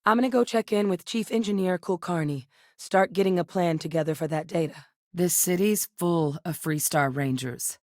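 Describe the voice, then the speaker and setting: Actress as a composed, low-voiced woman scientist. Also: darkish tone, no room sound, studio quality, natural voice. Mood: serious. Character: composed, earnest, duty-minded woman scientist in a space game. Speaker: video game voice actress. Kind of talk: scripted game character dialogue.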